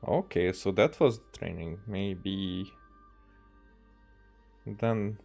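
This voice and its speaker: Low-voiced Hungarian man, halting game commentary. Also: gravelly, over music. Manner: halting, musing aloud. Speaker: game streamer. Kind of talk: casual gameplay commentary.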